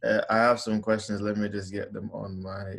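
A man's voice: fairly low pitch, level tone, moderate pace, vocal fry, fairly bright tone. A man, hosting a casual interview in a polite, informal, slightly hesitant way. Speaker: interviewer, host. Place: living room at home, on a video call. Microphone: computer or phone on a video call.

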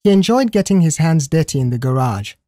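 A woman's voice: low voice, big pitch swings, a little fast, warm tone, no echo, studio quality. A woman reading a scripted audio story as its narrator.

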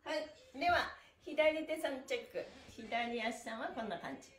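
A woman's voice: high-pitched, moderate pace, a bit bright. A middle-aged woman leading a follow-along stretch session as instructor, cheerful and sing-song, chanting counts while moving. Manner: physically active while talking. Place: small indoor room with bare wall, on a mat. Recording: roomy, echoing indoor sound.

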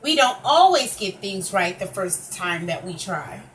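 A woman's voice: medium pitch, very animated, unhurried. A woman voicing a kind, encouraging little meerkat in a children's picture book, earnest and coaxing. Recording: distant microphone, faint background noise.

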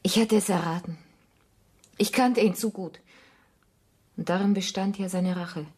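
An actress playing a grave, disillusioned woman in a radio drama, with a husky, breathy voice and measured, sombre delivery. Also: medium pitch, a little mellow. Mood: firm, resigned. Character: disillusioned, grave woman ending a love affair. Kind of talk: German radio play acting, intimate dialogue.

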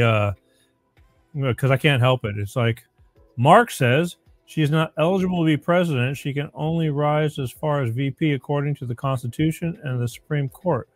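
Raspy, higher-pitched male talk show host. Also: dry recording. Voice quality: somewhat raspy. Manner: plain-spoken, repeats phrases for emphasis. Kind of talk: informal live opinion monologue to listeners.

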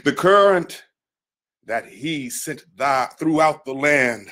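A man's voice: a little high, animated, takes it slowly, sharp tone. A man, an actor, reciting poetry in a solemn, declamatory style.

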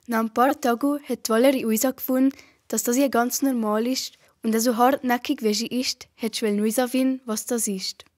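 A female storyteller narrates an amateur radio play. Her voice is fairly high, and she speaks with a Valais Swiss German accent, reading from a script. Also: steady tone, normal speed, a little mellow.

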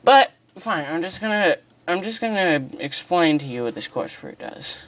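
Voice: mid-range voice, normal speed. Chatty gamer explaining a game. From United States. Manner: chatty, excitable, self-deprecating. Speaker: gaming channel host. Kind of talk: casual game commentary tutorial. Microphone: phone filming a screen.

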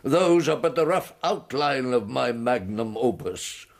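An actor playing an elderly, courtly invalid professor in a radio drama, British, wheezy and halting, with ornate phrasing. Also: male voice, mid-range voice, expressive, creaky. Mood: mournful. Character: elderly invalid scholar, courtly, self-pitying, secretly scornful.

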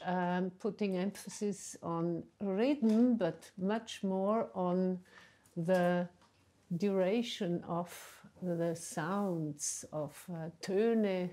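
A middle-aged woman interviews in English, being her language. Her voice is mellow and her delivery very slow, with hesitant searching for words. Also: mid-range voice. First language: German. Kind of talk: interview conversation. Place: indoor room at a large wooden table under glazed roof.

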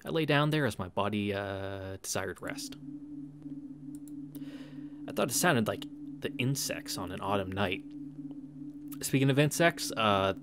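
A man's voice: lowish voice, expressive, a little slow, pauses often, noisy background. A young man reading a visual novel aloud and adding casual, wry asides. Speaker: let's-play narrator. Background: game music.

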